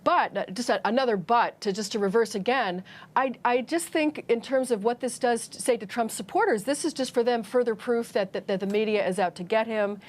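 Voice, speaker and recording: A woman's voice: fairly high pitch, fairly quick. A woman giving live political analysis as a guest on a news panel.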